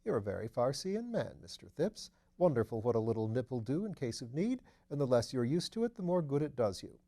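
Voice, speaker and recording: A man voicing a genial aristocratic gentleman detective in an audiobook, affable, with clipped upper-class phrasing. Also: medium pitch, very animated, fairly quick. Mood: cheerful. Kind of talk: audiobook character voice.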